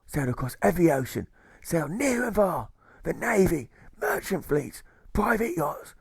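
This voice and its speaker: A volatile old sailor turned jailer from an audiobook, with a stage pirate accent. The voice is pushed high and creaky, swinging widely in pitch. He blusters and barks in salty, exclamatory slang. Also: big pitch swings, moderate pace, dry recording, full character voice. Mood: wistful.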